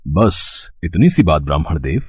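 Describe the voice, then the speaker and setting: Deep and dry-sounding, this is a solemn, dutiful young warrior prince acted by a man in a devotional storybook drama. He declaims formally and earnestly, with lively rises and falls. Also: normal speed, husky, no echo, heavily acted. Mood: proud, confident. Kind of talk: scripted mythological storytelling drama.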